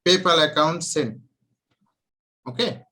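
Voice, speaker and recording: A man's voice: on the high side, takes it slowly, sharp tone. Terse Bangladeshi-accented man. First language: Bengali. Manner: terse, clipped broken phrases. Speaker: digital marketing consultant. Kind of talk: business call. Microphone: video call.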